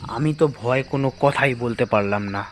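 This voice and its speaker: A man's voice: mid-range voice, fairly quick, a little hiss or hum. A man narrating a scripted first-person horror story in a dramatic, suspenseful way.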